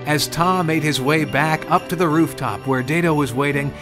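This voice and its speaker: A man's voice: fairly high pitch, moderate pace. A man reading a scripted children's storybook narration. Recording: music behind the voice. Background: background music.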